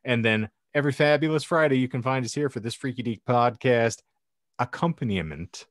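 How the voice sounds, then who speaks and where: A man's voice: mid-range voice, lively intonation, fairly quick, no room sound, studio quality. A man hosting a comedy podcast, speaking in a mock-formal, flowery way with playful banter. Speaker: podcast host.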